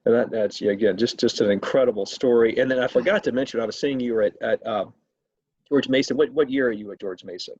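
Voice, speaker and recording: A man conducting a conversational interview as host, speaking haltingly and often repeating his words. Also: medium pitch, darkish tone, slightly rough. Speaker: state legislator hosting an interview. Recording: roomy, echoey, muffled video call sound.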